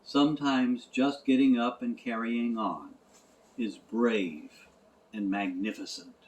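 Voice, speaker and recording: A man voicing a large, wise horse in a storybook, with a calm, measured, reassuring manner. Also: mid-range voice, natural voice, poor audio.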